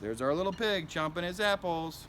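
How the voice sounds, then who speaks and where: A man's voice: sits high, animated, moderate pace, a bit bright, slight background noise. A man reading a picture book aloud to children as a school principal, in simple narration with asides about the pictures.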